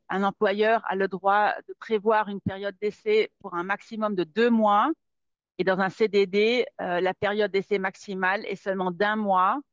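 A woman walks through a webinar as a lawyer, stopping often on fillers and repeating words. Her voice sits at an ordinary middle pitch. The sound is dry and muffled, as from a laptop microphone on a video call. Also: no echo. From Netherlands. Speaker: employment lawyer giving a webinar. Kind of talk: hesitant spoken presentation. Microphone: webcam or laptop mic on a video call. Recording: dull, dry lo-fi webinar audio.

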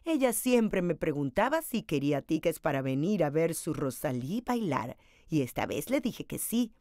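A woman's voice: deep voice, sing-song, normal speed, darkish tone, dry recording, clean studio sound. A woman storyteller voicing a wise, loving old grandmother in a picture book, assured and affectionate. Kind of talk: storybook character reading.

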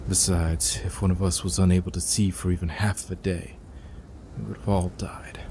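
A man playing a stoic, calculating elder brother in an audio drama, speaking flatly and formally. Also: sits low, normal speed, a little hiss or hum. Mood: stoic, somber. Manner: flat, formal. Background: loud plucked guitar music.

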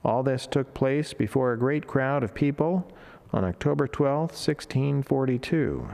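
A middle-aged man reading a devotional reflection as a friar, in a solemn, measured, formal way. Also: mid-range voice, vocal fry, a little mellow. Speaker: Franciscan friar preacher. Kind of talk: read devotional reflection and prayer. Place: indoor chapel, at a lectern beside the altar. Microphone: lectern microphone.

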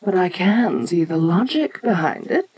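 Woman voicing giant female monster, imperious, curious, formal, deliberate slow delivery, soft-edged mellow tone, audio roleplay. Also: fairly low pitch, lively intonation, breathy edge, poor audio. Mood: musing, condescending. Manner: deliberate, formal, condescending, musing. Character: imperious ancient female kaiju giantess, haughty, curious, domineering. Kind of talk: audio roleplay addressed to the listener.

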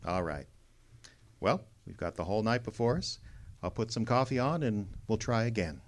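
An actor playing a cynical, wisecracking tough private detective in a radio drama, wry and clipped. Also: male voice, fairly low pitch, animated, normal speed. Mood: sardonic. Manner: hard-boiled, wry, clipped. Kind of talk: old-time radio drama acting.

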